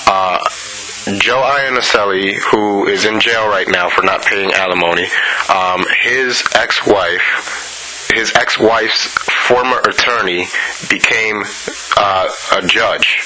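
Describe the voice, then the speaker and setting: A man hosting a radio interview, halting and asking his guest to clarify. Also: on the low side, sharp tone, slight background noise, low-quality recording. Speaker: radio host.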